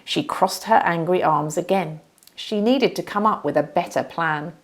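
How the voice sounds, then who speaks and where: A woman reading a children's storybook aloud as narrator, with dramatic, deliberate emphasis. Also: fairly low pitch.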